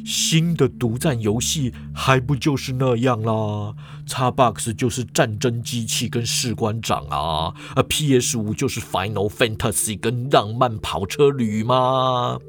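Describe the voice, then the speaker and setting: A man voicing a calm, know-it-all male professor who lectures in a matter-of-fact way. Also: mid-range voice, measured pace, natural voice. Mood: lecturing.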